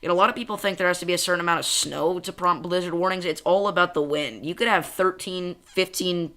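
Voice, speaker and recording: A young man from central Illinois hosts a casual weather livestream, chatting at home and reading chat aloud. His voice is bright and forward, pitched a little high, and he talks fast and excitedly, drifting into tangents.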